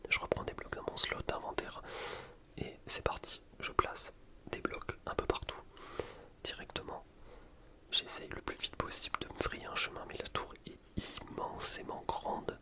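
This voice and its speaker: Man, soft whisper, ASMR gaming commentary, informal, rambling, self-deprecating. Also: normal speed. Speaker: gaming video creator.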